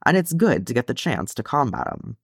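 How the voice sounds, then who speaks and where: Man acting a proud male dwarf, hearty and musing, formal measured phrasing, lively intonation, storybook character, dry close sound. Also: medium pitch, creaky, husky, dry recording, heavily acted. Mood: gracious.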